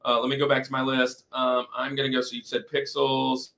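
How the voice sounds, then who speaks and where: A man's voice: mid-range voice, completely flat delivery, fairly quick, vocal fry, sharp tone. A man hosting a talk show in a casual bantering style, often repeating phrases for emphasis. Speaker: podcast host.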